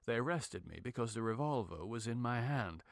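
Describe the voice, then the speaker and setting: A man reading a horror story as a dramatic narrator, in a grave, measured, ominous manner. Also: mid-range voice, animated, creaky, no echo, studio quality. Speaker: audiobook narrator.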